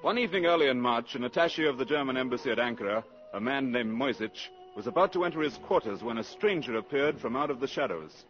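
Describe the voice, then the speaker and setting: Casting: male actor as measured vintage radio narrator, medium pitch, muffled recording with music underneath. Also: lively intonation, lightly acted. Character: measured male narrator of a wartime spy story. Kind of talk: 1950s radio drama narration.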